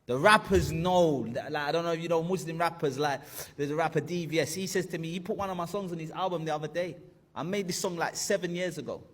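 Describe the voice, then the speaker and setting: A man's voice: a little high, quick pace. A man in his thirties animatedly telling a personal story, acting out the voices of friends and his teacher.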